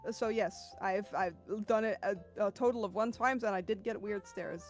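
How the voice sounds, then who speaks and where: A woman's voice: mid-range voice, moderate pace, faint music behind. A young woman guesting on a casual stream, rambling and giggling as she corrects herself. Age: young adult.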